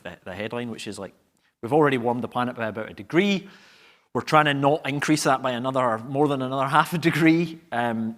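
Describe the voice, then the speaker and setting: A man on a panel, a climate scientist, explaining in a rambling, hesitant way. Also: mid-range voice. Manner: rambling, hesitant, lots of fillers. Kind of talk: casual panel chat.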